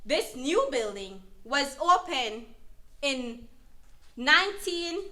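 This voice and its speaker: Woman acting slow, high-voiced, earnest advocate. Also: sing-song, sharp tone, full character voice. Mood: proud, explanatory. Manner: emphatic, instructive. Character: earnest woman advocate counselling an abused mother. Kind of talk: educational dramatization, deliberate explanatory delivery.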